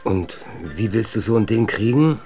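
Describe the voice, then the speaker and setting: A hesitant reluctant everyday guy, creaky voice, radio drama, bit of music under it. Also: lowish voice, animated, normal speed, mellow. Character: wary, reluctant ordinary family man drawn into adventure. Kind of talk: German radio play acting.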